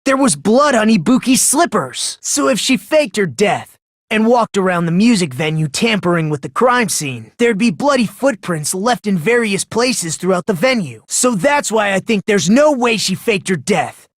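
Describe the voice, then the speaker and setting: Casting: polite, unsettlingly excitable teenage boy for a mystery game; breathy gushing and soft musing, highly varied intonation, dry studio sound. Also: normal speed, no room sound. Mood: analytical. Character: polite, self-loathing, eerily excitable teenage boy sleuth. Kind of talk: English video game voice acting.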